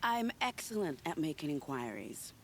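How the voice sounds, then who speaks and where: A woman playing a sly, secretive, charming spy in a video game, teasing in a silky, unhurried way. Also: low-pitched, big pitch swings, slight background noise. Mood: sly, confiding. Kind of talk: video game cutscene acting.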